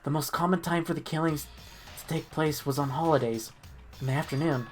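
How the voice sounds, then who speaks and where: Low-pitched woman reading a game aloud. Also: brisk. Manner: expressive, stumbling over words. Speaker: reader of the protagonist's lines in a group playthrough. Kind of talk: amateur dramatic read-aloud of a visual novel game. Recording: music playing behind the voice. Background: game music.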